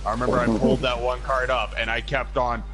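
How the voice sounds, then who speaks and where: A man's voice: mid-range voice, level tone, fast. A young man chatting casually on a game stream, swearing and playfully trying out voice impressions. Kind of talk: casual gaming chat. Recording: game music behind voice.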